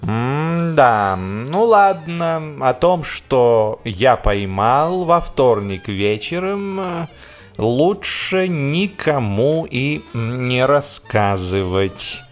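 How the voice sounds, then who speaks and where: A man's voice: mid-range voice, expressive, very slow, faint music behind. A man playing a smug, respectable veteran angler in a comic radio play, self-important and feigning reluctance as he repeats himself. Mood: feigned reluctance.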